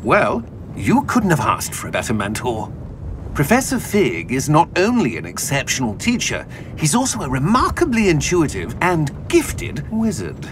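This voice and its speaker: A man playing an earnest middle-aged wizard official in a video game, speaking in a formal, gentlemanly way. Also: fairly high pitch, wildly swooping pitch, moderate pace, background noise. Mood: friendly, intrigued. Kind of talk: cinematic game dialogue acting. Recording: music under the dialogue.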